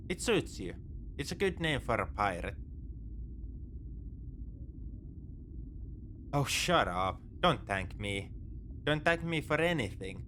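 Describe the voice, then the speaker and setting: A man playing a begrudgingly soft-hearted space pirate captor in a sci-fi audio roleplay, speaking to the listener in an exasperated, dismissive, teasing way. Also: on the low side, slight background noise. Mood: reluctantly warming. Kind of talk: sci-fi ASMR roleplay acting, addressing the listener.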